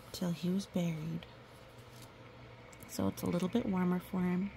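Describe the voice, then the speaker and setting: A woman reading a picture book aloud to a young child, animated and repeating words for emphasis, with explanatory asides. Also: medium pitch, gravelly, slight background noise, rough recording.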